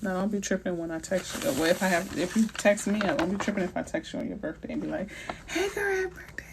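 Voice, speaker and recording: A woman's voice: lowish voice, expressive, quick pace, background noise. A middle-aged woman from New Orleans with a New Orleans accent, hosting a casual live Q&A as a realtor and chatting playfully with slang and asides. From New Orleans, Louisiana. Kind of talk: casual live-stream chat answering viewers.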